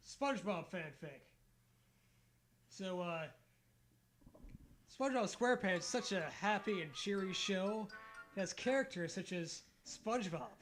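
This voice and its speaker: A man's voice: high-pitched, fairly bright tone. A man co-hosting a comic read-aloud of fan fiction, breaking into sarcastic, sweary casual banter. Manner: sarcastic, profane riffing.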